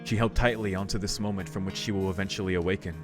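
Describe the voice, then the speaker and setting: A man's voice: sits low, fairly even intonation, fast talker. A man doing a casual, reflective let's play of a visual novel, commenting and reading the text aloud. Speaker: streamer playing a visual novel. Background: game music.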